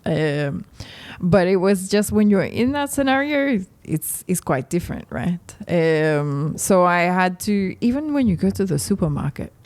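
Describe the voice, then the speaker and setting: A woman's voice: on the low side, lively intonation, a little slow, hardly any pauses, a little mellow. A woman from Australia with an Australian accent, chatting casually as a podcast guest, laughing easily and ending points with "right?". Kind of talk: casual interview chat.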